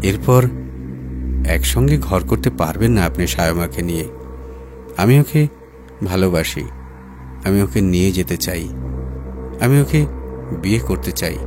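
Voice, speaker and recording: A man voicing an earnest, resolute lover in an audiobook, speaking in a slow, quiet, deliberate way. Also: sits low, expressive, music in the background. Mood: quietly resolute. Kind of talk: acted audiobook dialogue.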